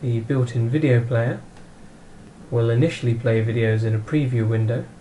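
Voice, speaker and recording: A man with a mid-pitched, mellow voice talks through how a device works. His delivery is matter-of-fact and instructional, like a straightforward demonstration. Some ambient noise sits behind the muffled recording. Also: steady tone, moderate pace. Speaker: product demonstrator. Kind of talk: instructional product walkthrough narration.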